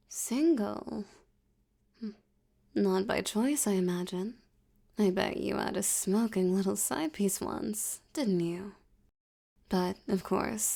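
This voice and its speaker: A woman playing a flirtatious, domineering mafia boss in an ASMR roleplay, teasing and condescending, with a breathy voice that slips toward whispers. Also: medium pitch, dark tone. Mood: smug, taunting. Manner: teasing, seductive, condescending, drawn-out delivery.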